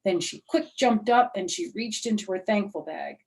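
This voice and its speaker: A woman's voice: medium pitch, lively intonation, moderate pace, darkish tone, low-quality recording. Older American woman reading a children's story. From United States. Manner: explains simply to young listeners. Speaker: storyteller, former teacher. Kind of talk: expressive children's story reading with chatty asides.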